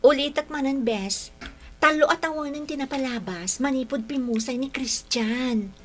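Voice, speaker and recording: High, insistent Filipino best friend played by actress. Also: female voice, moderate pace, natural voice. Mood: exasperated, insistent. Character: April, pushy young female best friend and matchmaker. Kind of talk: Filipino radio drama acting.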